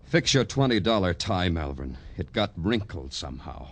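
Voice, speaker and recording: Hard-boiled detective, low voice, very dynamic inflection, sardonic, clipped, world-weary, vintage radio noir. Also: male voice, very animated, normal speed, over loud music. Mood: wry narration. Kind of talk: 1950s radio noir acting and narration. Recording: old radio drama broadcast.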